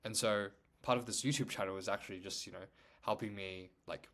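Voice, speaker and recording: Rambling young man, low voice. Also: steady tone, fairly quick, a bit bright. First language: Chinese. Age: young adult. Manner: rambling, full of "like" and "you know". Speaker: YouTuber. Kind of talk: casual talk to camera.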